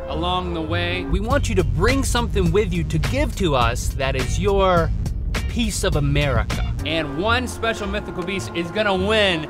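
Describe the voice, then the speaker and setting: Lively man narrating a road trip. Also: high voice, normal speed. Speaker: vlog co-host, driver. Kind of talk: casual travel vlog banter and voice-over. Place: inside a moving truck cab. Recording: music playing under the voice. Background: music bed.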